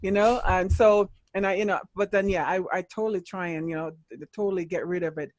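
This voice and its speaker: A woman hosting a relaxed interview, her faint Jamaican accent coming through on certain words. She talks in loose, chatty runs full of "you know," and her voice sits close and dry, with no room around it. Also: lowish voice, quick pace, dry recording. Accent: slight Jamaican. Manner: chatty, warm, leans heavily on "you know". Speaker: podcast host. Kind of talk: casual interview chat.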